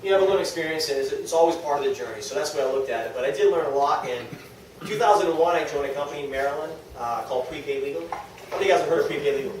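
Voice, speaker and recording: A man with a New England accent and a slightly high voice talks casually to a team meeting. He is self-deprecating and keeps checking in with his listeners. The room is very echoey and he sounds set back from the microphone. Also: very fast. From New England, United States. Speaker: speaker at a direct-sales team meeting. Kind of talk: informal motivational talk to a small group. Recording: very echoey room, distant mic, faint noise.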